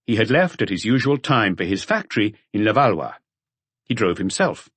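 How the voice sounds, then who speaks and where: A man reading a mystery audiobook as scripted narration, in a measured storytelling manner. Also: lowish voice, a bit bright, no room sound.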